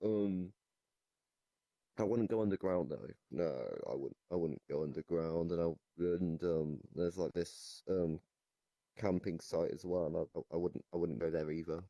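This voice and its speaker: A young man improvising comedic chat in a deadpan, hesitant way. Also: low voice, unhurried, dry recording. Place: online voice chat in a virtual reality game.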